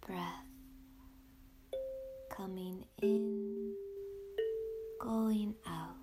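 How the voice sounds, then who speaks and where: Female meditation guide, medium pitch, hushed and breathy, long unhurried pauses, prominent ambient music bed. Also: steady tone. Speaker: meditation guide, podcast host. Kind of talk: guided yoga nidra sleep meditation. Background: meditative music with ringing tones.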